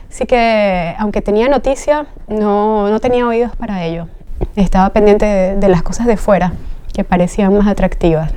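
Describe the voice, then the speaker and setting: Warm-voiced woman, reflective. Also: medium pitch, clear, resonant tone. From Venezuela. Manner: reflective, earnest, searching for words. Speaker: guest, train driver. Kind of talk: personal testimony in an interview.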